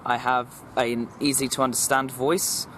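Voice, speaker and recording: Earnest young Englishman with a formal, even voice. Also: mid-range voice, unhurried, a little hiss or hum. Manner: earnest, repetitive, restarts often. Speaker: vlogger. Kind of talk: personal vlog talking to camera.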